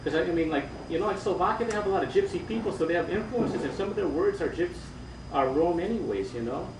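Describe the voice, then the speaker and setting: A man in the audience telling a travel story in halting, restarting speech. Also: high voice, animated. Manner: halting, many restarts, laughs often. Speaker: audience member. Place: bookstore event room. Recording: echoey room, distant mic, background noise.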